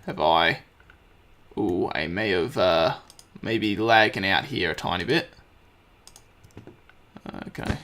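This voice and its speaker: A man with a slightly croaky voice, casually commenting on his gameplay and thinking aloud as he crafts. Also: deep voice, takes it slowly, long pauses, a bit bright, slight background noise. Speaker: let's play host.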